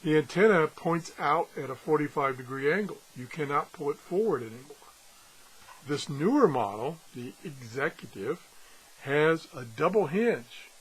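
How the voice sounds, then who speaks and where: A man's voice: fairly high pitch, animated, moderate pace, breathy edge, poor audio. A man giving a casual, unscripted product demonstration as a reviewer, rambling and thinking aloud with broken-off asides. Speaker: radio reviewer.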